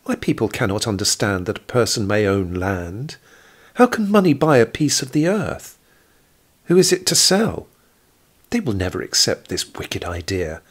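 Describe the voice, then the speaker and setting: A man's voice: on the low side, sing-song, normal speed, slightly rough. A man voicing a defiant, distrustful poor young woman in an audio drama, vehement and bitter. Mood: angry, bitter.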